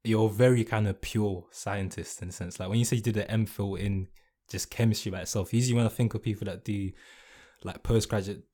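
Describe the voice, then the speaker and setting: A man's voice: on the low side, fairly even intonation, a little fast, warm tone. A young man co-hosting a podcast, asking a long, rambling question full of fillers. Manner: rambling, hesitant, many fillers. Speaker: podcast co-host. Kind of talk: casual interview question.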